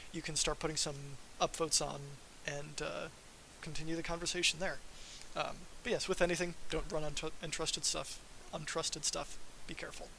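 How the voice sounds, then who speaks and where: A man giving an informal technical presentation on a livestream as a software developer, in a casual, self-deprecating manner. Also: fairly high pitch, gravelly, poor audio. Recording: faint background hiss.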